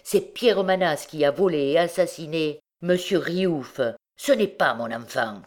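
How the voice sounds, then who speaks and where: A woman's voice: low voice, unhurried, darkish tone. A woman voicing a desperate working-class mother, horrified and fiercely defiant, in an exclamatory, pleading way.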